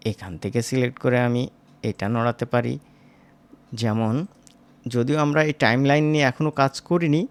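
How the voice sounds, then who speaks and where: A man teaching as a software instructor, informal and step by step, often checking in with short tag questions. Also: mid-range voice, clear, resonant tone. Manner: conversational, seeking agreement with short tag questions. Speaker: animation tutorial instructor.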